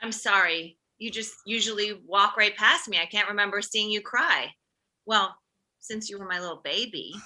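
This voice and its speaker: A woman's voice: mid-range voice, normal speed, bright, forceful tone. A woman playing a self-absorbed but well-meaning mother of forty-five in a comedy table read, emphatic and fussing. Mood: concerned.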